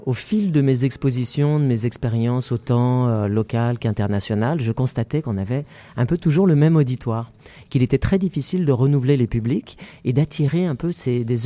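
Guy from france talking slow n careful, lots of euhs, warm low tone. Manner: measured, hesitant with many fillers. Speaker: festival founder. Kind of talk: interview conversation.

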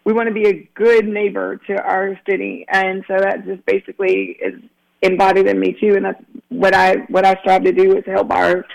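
Raspy high-voiced man on a phone line. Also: level tone, normal speed. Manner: earnest, rambling with restarts. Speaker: attorney guest. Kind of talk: casual radio interview.

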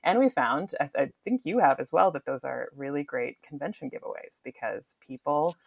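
A woman being interviewed as a podcast guest, talking casually and with enthusiasm, often halting to find her words. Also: deep voice, expressive, vocal fry, slightly rough. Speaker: podcast guest, book marketing director.

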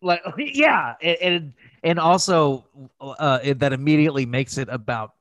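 A man's voice: a little high, unhurried, fairly bright tone, dry recording. A man with an American accent chatting casually as a podcast co-host, informal and freely swearing. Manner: informal, profane, conversational. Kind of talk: casual chat.

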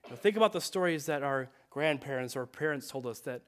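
A man's voice: medium pitch, expressive, fairly quick, a bit bright. A man in his thirties, a pastor, reading scripture and preaching in a conversational way with many fillers, his voice congested and a little nasal. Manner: conversational with frequent fillers and self-corrections.

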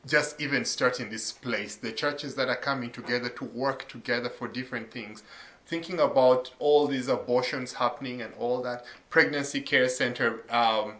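Earnest man from Uganda, bright voice. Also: medium pitch, steady tone, moderate pace, echoey room, muffled recording. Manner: earnest, warm testimony with frequent fillers. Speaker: associate pastor. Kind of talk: faith interview.

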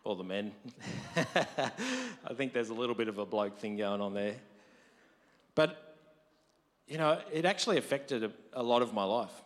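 A married man preaching a conversational sermon, his voice deep from lack of sleep, rising to emphatic quotes. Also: big pitch swings, a little slow, long pauses. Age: adult, married. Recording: long hall reverb.

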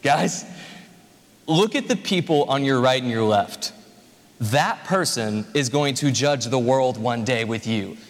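A man's voice: mid-range voice, animated, a little slow. A man in his twenties preaching a conversational, joking sermon to a congregation. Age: 20s. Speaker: pastor. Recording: reverberant hall.

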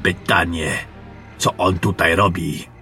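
A man playing a seasoned, wry general and monster hunter in a fantasy audio drama, measured and commanding. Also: low voice, fairly even intonation, gravelly, loud music behind the voice, exaggerated voice. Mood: cautious, measured.

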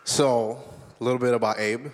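A man's voice: mid-range voice, fairly even intonation, normal speed. A man from Liberia speaking at a church service, earnest and candid as he reflects on his life. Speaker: guest speaker sharing testimony. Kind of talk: personal testimony on stage. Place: church stage. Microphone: stage microphone. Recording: long reverb of a large hall.

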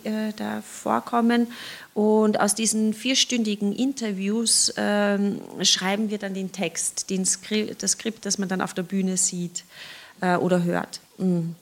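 A woman's voice: mid-range voice, gravelly. A woman theatre director answering interview questions in a hesitant way, with many filler sounds. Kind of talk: podcast interview guest.

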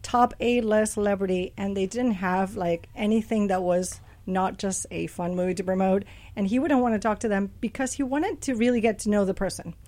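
A woman's voice: mid-range voice, moderate pace, hardly any pauses, darkish tone. A woman radio host chatting casually, telling stories with enthusiasm.

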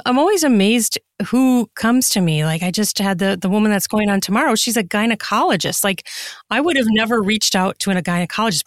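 A woman's voice: mid-range voice, no echo, clean studio sound. A woman speaking as a podcast guest in casual interview chat, effusive and emphatic in a conversational way. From United States. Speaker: podcast guest, erotica author.

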